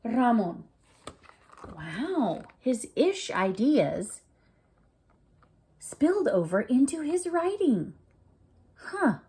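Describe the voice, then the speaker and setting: Woman, high voice, slow deliberate pace, children's read-aloud, teacherly, gently questioning. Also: sing-song. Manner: gentle questioning, prompting listeners to think. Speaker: teacher reading a picture book aloud. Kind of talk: expressive children's read-aloud with asides to listeners.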